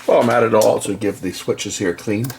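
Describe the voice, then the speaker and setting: A man's voice: lowish voice, steady tone, quick pace, creaky, slight background noise. A man giving a casual, hands-on repair demonstration as a technician, explaining things in a matter-of-fact way. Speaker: electronics repair technician and video host.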